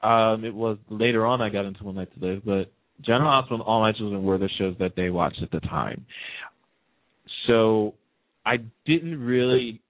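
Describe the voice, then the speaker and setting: A man with a fairly low voice talks over a narrow, tinny phone line. He is chatty and casual, telling stories like a guest on a radio interview. The sound is dry, with no room around it. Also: normal speed, no echo.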